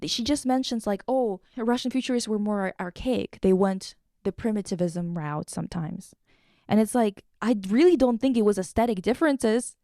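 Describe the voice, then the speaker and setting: Woman podcast host, mellow voice, super dry recording, slangy n sweary, casual and irreverent. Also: mid-range voice, moderate pace, dry recording. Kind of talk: casual chat, recapping and reading quotes aloud.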